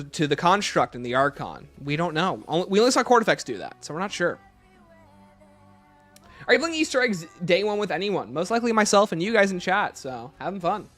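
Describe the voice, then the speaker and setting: A man's voice: a little high, fairly bright tone. A man hosting a livestream, reading out chat questions and answering them informally while thinking aloud. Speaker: livestream host.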